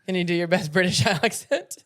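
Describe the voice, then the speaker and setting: A man's voice: high-pitched, a little fast, crowd noise. A man hosting a podcast, teasing his guest and cracking jokes during a casual chat. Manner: joking interjector who teases the guest. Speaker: podcast host.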